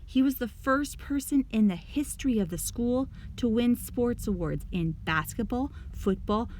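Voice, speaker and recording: A woman reading a children's story aloud in an expressive storytelling manner, addressing young listeners. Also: fairly high pitch, a little slow, a little hiss or hum. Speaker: storybook reader.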